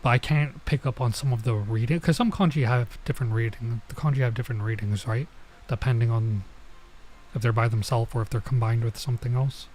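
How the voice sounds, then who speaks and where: A man streaming a visual novel, reading lines aloud and commenting casually, haltingly sounding out some words. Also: mid-range voice, steady tone, darkish tone, a little hiss or hum.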